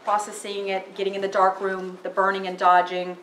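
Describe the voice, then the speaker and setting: A woman in her forties, a photographer giving a casual talk in a library meeting room, speaking loudly into a handheld microphone with plenty of fillers. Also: mid-range voice, completely flat delivery, brisk. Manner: loud, chatty, with many fillers. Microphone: handheld microphone through PA. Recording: echoey room over a PA.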